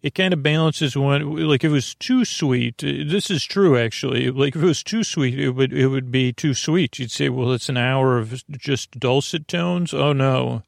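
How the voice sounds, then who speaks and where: A man with a creaky voice hosting a bedtime podcast, rambling soothingly and haltingly to the listener with meandering self-corrections. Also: medium pitch, no room sound, studio quality. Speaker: podcast host. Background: music.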